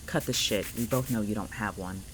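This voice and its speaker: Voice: mid-range voice, fairly quick, slight background noise, close to an everyday voice, low-quality recording. An actor in a fiction podcast playing a guarded, irritable homebody who is curt, sarcastic and exasperated.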